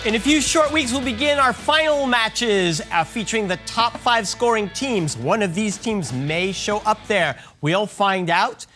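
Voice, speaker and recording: A quiz show host reading out trivia questions in a clear, measured way and calling on players by name. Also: mid-range voice, a bit bright, soft background music.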